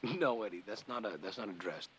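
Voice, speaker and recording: Man playing a gentle TV dad, lively low voice. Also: brisk, lightly acted. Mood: explaining, wry. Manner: patient, affectionate, gently wry explanations. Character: gentle, patient father of a young boy. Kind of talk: naturalistic television sitcom acting. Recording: noisy old TV soundtrack.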